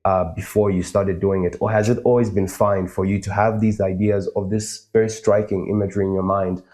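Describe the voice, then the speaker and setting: A man raised in Zambia hosts the interview, putting careful, thoughtful questions and murmuring along as his guest talks. His voice sits on the low side and sounds mellow and warm. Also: little pitch movement, fairly quick. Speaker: interviewer, host. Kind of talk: conversational interview.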